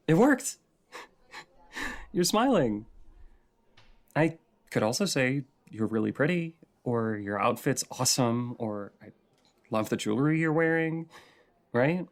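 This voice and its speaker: A man's voice: mid-range voice, creaky. A man playing a shy, adorkable young stranger in an intimate roleplay audio, talking in a hesitant, rambling, self-conscious way.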